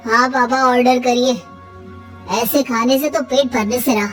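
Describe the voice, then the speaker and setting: A woman's voice: a little high, fairly even intonation, a little slow, a bit bright, faint music behind. A woman voicing an arrogant, short-tempered young husband in a cartoon story, scolding with contempt. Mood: contemptuous.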